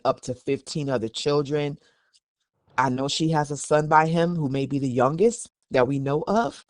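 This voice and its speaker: A podcast host speaks at a medium pitch into a close mic with very little room sound. The delivery moves between reading an article and conversational commentary. Opinions come across candid and emphatic. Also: a bit bright, no room sound.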